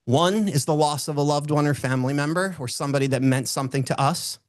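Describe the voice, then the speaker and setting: Booming-voiced man giving a motivational talk. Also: fast talker, no room sound, studio quality. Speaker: motivational speaker. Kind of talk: motivational talk with personal storytelling.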